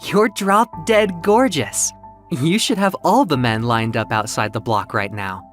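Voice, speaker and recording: A man's voice: medium pitch, a little slow, a bit bright, soft background music, close to an everyday voice. A man voicing a reassuring, playful winged muse woman in an audio drama story. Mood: playful, encouraging. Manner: reassuring, encouraging.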